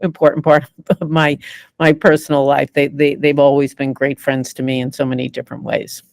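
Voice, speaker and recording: A woman's voice: extremely low pitch, level tone, brisk, gravelly, darkish tone, no echo, studio quality. A woman in her sixties, a guest in a podcast interview, telling stories reflectively with many fillers and restarts. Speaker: podcast guest, media executive. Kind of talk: conversational storytelling.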